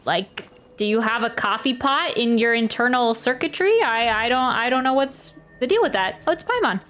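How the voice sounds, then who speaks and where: A woman livestreaming a video game, chatting casually and thinking out loud as she reacts and comments. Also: fairly high pitch, expressive, normal speed. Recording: game music behind the voice.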